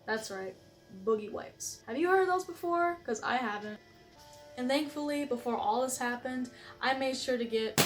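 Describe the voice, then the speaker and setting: A young woman talking casually as a vlogger, chatty and asking her viewers questions. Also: fairly high pitch. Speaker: student vlogger interviewing friends. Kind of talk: casual vlog narration.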